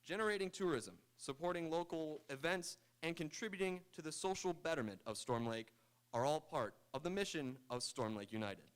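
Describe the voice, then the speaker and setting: Clear-voiced man announcing on radio. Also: fairly high pitch, expressive, a little fast. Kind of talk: scripted promotional announcement.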